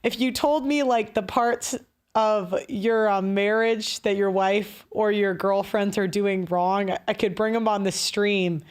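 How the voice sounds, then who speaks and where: A woman's voice: mid-range voice, fairly even intonation, measured pace, clear, resonant tone. A woman hosting a live call-in stream, talking casually with fillers like "like" and "um". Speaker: host.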